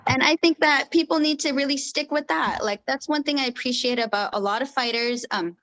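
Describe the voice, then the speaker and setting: A woman's voice: high voice, a little fast, a bit bright, a little hiss or hum, poor audio. A woman boxer speaking as an interview guest, outspoken and firm.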